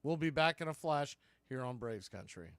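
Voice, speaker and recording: A man's voice: mid-range voice, lively intonation, normal speed, smooth. A man hosting live baseball play-by-play, giving punchy calls and folksy, opinionated commentary. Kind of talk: live radio sports commentary.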